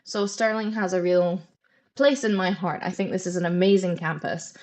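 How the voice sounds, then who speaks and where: A Scottish woman with a slightly low voice presents a webinar over a computer mic. She walks through slides in a friendly, informative way, pausing on the odd "um". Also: a little mellow. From Scotland. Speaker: presenter for a study holiday company. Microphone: computer mic on a video call.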